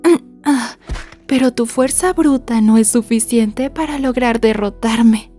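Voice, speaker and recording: A woman's voice: on the high side, slow pace, warm tone, soft background music. A woman playing an obsessive, sweetly menacing yandere schoolgirl in an ASMR roleplay, murmuring with mock-polite menace. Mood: taunting.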